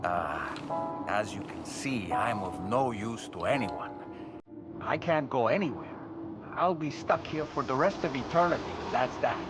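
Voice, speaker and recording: A man plays a weary spirit trapped inside a doll, a grieving father with a gentle, wistful way of telling his story. He sounds resigned to his fate, in the style of a cinematic game drama. Loud music runs behind the voice. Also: mid-range voice, expressive, unhurried, close to an everyday voice. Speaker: spirit medium. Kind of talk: cinematic video game acting.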